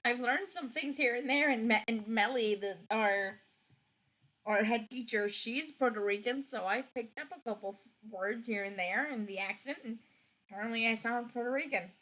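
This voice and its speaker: Young woman, fairly high voice, chatty, rambling, casual gaming chat. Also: moderate pace, a bit bright. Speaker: streamer.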